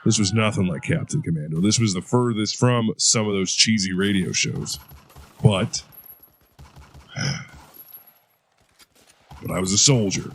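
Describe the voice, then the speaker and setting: A man's voice: on the low side, measured pace, long pauses, a bit bright, a little hiss or hum. A man playing a hardened veteran soldier who talks in a blunt, profane way. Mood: gruff, recounting. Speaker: interrogated war veteran. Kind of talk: audio drama acting.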